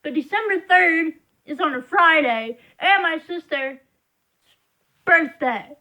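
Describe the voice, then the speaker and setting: High-pitched young woman venting slowly. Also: animated, sharp tone, rough recording. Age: young adult. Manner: frustrated, rambling, emphatic complaining. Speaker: vlogger. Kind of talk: casual venting monologue.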